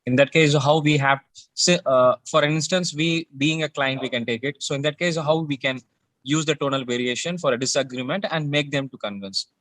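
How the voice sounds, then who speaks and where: Dry-sounding, halting man. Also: on the high side, fairly bright tone, no room sound, poor audio. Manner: halting, deferential. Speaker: session participant asking a question.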